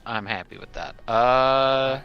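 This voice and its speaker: A man's voice: medium pitch, takes it slowly, clean tone. A man chatting casually as a player in a tabletop game. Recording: dull lo-fi mic, faint music. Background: faint music.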